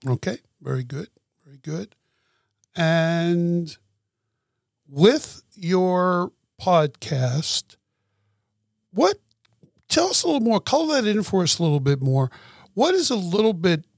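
A man hosting an interview, gentle and unhurried, often laughing as he talks. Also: high voice, very animated, creaky, no echo, studio quality. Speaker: interview host. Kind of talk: casual interview talk.

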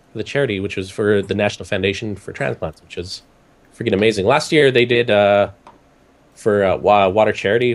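A young man from Canada, hoarse from a cold, telling a rambling story in casual chat with many restarts. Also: on the low side. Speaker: podcast guest recounting a trip.

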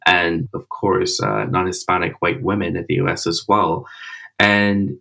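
A man, a cancer researcher giving measured, explanatory interview answers with "uh" and "you know" fillers. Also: sits low, flat delivery, vocal fry, sharp tone, low-quality recording. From Barbados.

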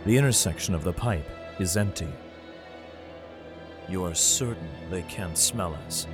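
A man's voice: low voice, a little slow. Man narrating urgently over music. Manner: urgent, tense storytelling. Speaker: narrator and lead character, a father. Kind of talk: first-person dramatic narration.

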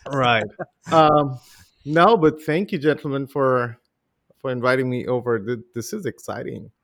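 A man in his forties with an Indian accent softened by life in several countries, talking as a guest on a podcast. His pitch sits in the middle range for a man, and his phrasing is measured with a playful, joking streak. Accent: Indian, mixed with international influences. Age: 40s. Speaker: guest musician. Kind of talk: casual podcast interview.

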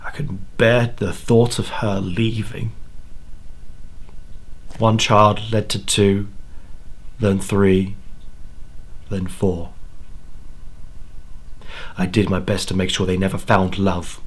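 A man performs an old grandfather on his deathbed, full of anguish. His speech is low and slow, breaking and repeating as he confesses. The delivery is dramatic horror storytelling. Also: level tone, slightly rough, full character voice, low-quality recording. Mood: sorrowful confession. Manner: halting, repeats words, dramatic. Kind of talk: dramatic first-person horror monologue.

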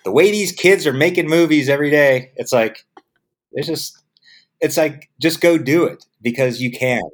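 Middle-aged man, lively interview storyteller. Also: normal speed. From United States. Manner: animated storyteller, acts out quoted voices with jumps in pitch; repeats words when restarting. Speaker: film producer guest. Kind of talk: casual interview talk.

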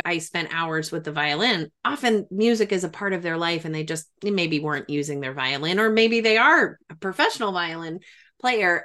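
A woman's voice: fairly low pitch, fairly bright tone. A woman hosting an interview, talking in a conversational, explanatory way with engaged enthusiasm. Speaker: interviewer, host trained in trauma and grief work.

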